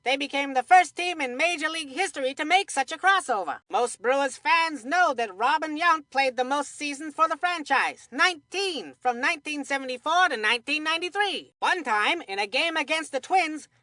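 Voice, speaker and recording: A woman's voice: sits high, lively intonation, moderate pace, few pauses, sharp tone, dry recording. A woman voicing a cheerful, chatty kid baseball commentator in a video game, with folksy, scripted delivery. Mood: cheerful, informative. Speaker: sports commentator.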